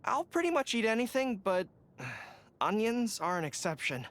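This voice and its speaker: A man's voice: high-pitched, huge pitch swings, normal speed, a bit bright, full character voice. A man voicing an earnest, brave young dog-eared general in a video game, speaking in a formal, resolute and humble way. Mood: bashful. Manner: earnest, formal, resolute, sometimes bashfully hesitant. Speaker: army general in a fantasy game. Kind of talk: video game character voice acting.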